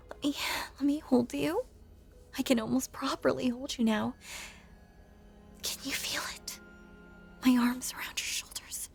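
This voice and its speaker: A woman playing a lonely, possessive female ghost in an intimate audio drama monologue, hushed and coaxing, with genteel old-fashioned phrasing and a breathy voice that often sinks toward a whisper. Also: high voice, heavily acted. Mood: tender, desperate.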